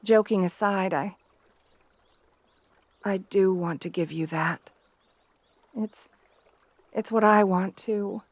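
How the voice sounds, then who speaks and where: A woman's voice: medium pitch, steady tone, slow, pauses often, no room sound. A woman playing a shy, devoted young princess in a romantic ASMR roleplay, hesitant and deferential, often stammering. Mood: tender, hopeful.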